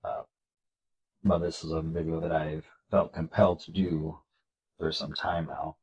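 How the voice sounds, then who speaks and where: A man with a congested, nasal voice from a cold, hosting a video and reading slides aloud in an earnest, measured way. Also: rumbling low, little pitch movement, darkish tone, muffled recording. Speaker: political video host.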